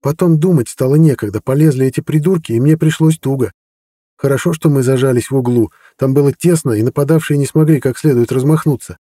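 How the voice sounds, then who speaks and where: A man reading an audiobook voicing a blunt young woman warrior who talks frankly and briskly. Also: mid-range voice, mellow, pitched above the performer's own voice, close to an everyday voice. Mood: recounting. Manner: brisk, frank and matter-of-fact.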